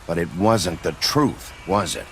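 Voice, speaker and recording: An actor playing a gruff, world-weary lawman in a noir video game, gravelly, terse and brooding. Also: low voice, expressive, a little slow, a bit bright, full character voice. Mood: probing, surprised. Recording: noisy street ambience behind the voice.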